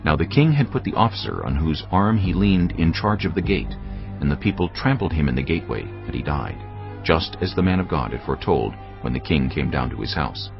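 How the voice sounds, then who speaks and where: A man giving solemn, measured scripted narration for a dramatised audio Bible. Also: rumbling low, animated. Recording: dull, muffled audio over music.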